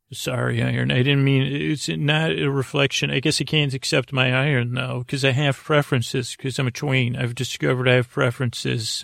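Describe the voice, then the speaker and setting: Creaky-voiced man, rambling and halting. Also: mid-range voice, a bit bright, dry recording, clean studio sound. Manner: meandering, halting, self-interrupting, with tangents and repeated words. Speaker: podcast host. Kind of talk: rambling bedtime monologue to help listeners sleep.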